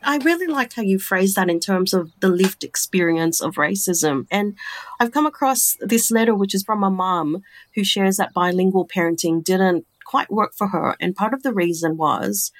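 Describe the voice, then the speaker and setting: A woman hosting a podcast, moving between scripted narration and interview questions in a calm, measured, earnest way. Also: medium pitch. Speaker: podcast host.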